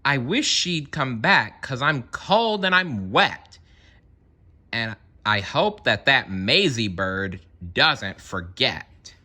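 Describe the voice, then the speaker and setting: Man voicing a big earnest storybook elephant, very bright. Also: mid-range voice, big pitch swings, slow pace, full character voice. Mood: miserable. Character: big gentle loyal male elephant, earnest and put-upon. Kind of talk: children's storybook character voice.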